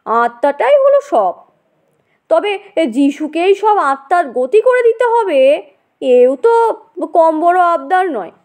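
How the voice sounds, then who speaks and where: High-voiced, argumentative lady played by a woman. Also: darkish tone.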